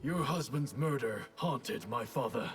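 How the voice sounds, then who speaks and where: Grave game grandmaster male actor, medium pitch, formal, weighed down by duty, clear music underneath. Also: animated, a little slow, close to an everyday voice. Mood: solemn. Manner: formal, solemn. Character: dutiful, burdened male ninja grandmaster. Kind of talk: video game character dialogue. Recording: music behind the dialogue.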